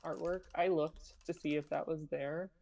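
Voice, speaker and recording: Lower-voiced woman, away from mic. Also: steady tone, normal speed, vocal fry, dark tone. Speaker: stream co-host. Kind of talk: casual chat over a game. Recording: distant mic, faint game music.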